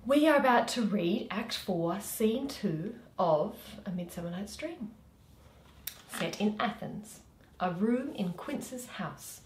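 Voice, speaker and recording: Animated woman host in a roomy space. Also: medium pitch, slow, slight background noise. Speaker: host and reader. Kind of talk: friendly presenting and scripted reading. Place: small room at home, indoors. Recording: roomy home recording.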